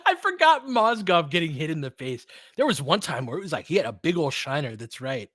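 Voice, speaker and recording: A man's voice: fairly high pitch, very animated, a little fast, a bit bright, no room sound. American man, dry-sounding casual basketball commentary. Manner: partisan fan, colloquial, clipped present-tense phrases. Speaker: play-by-play host and fan commentator.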